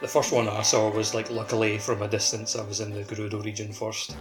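A man's voice: on the low side, little pitch movement, quick pace, loud music behind the voice. A man chatting informally and enthusiastically about a video game as a podcast host, peppering his talk with filler words.

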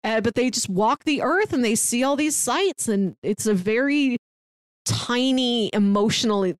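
A woman's voice: a little high, lively intonation, a little slow, dry recording. Chatty woman podcast host, dry sound. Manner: enthusiastic, chatty, recounts plots. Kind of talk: casual chat.

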